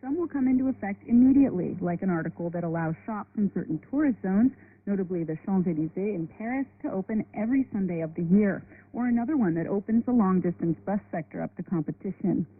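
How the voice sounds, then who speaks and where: A woman's voice: medium pitch, expressive, quick pace. A woman radio correspondent delivering a scripted, explanatory news report. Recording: tinny shortwave radio with faint noise.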